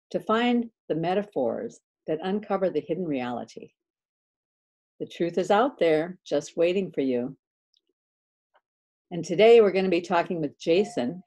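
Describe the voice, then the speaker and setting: A woman's voice: on the low side, dark tone. A woman hosting a podcast, upbeat and welcoming as she opens the show and interviews her guest. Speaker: podcast host. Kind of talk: scripted intro then interviewing.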